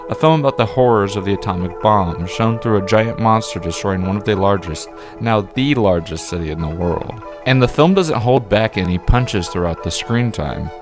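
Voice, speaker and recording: A man's voice: lowish voice, lively intonation, moderate pace. A man narrating a scripted video essay review as the channel's host, with emphatic, wordy phrasing that leans on repeated words. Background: background music.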